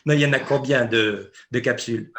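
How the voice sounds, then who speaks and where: A man from Luxembourg hosting an interview in conversational French, emphatic and animated, sometimes laughing as he talks. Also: medium pitch, brisk, slight background noise. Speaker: interview host.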